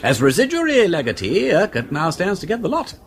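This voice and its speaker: An actor playing an aristocratic English gentleman detective in a British radio drama, breezy and witty with an upper-class accent and clipped, old-fashioned phrasing. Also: high-pitched, huge pitch swings, quick pace, a little hiss or hum, exaggerated voice. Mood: excited deduction.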